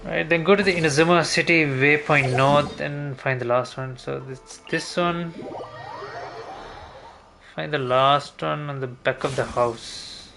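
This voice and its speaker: A man's voice: on the high side, steady tone, unhurried, creaky. A man streaming a game, thinking aloud in a casual, hesitant way as he talks through his route. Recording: game music behind voice. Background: video game music.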